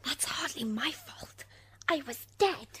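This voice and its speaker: A woman's voice: on the high side, normal speed, a bit bright, a little hiss or hum, natural voice. A woman playing a proud, defensive dead churchgoer on trial in an amateur radio drama. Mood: shocked, indignant. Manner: defensive, huffy.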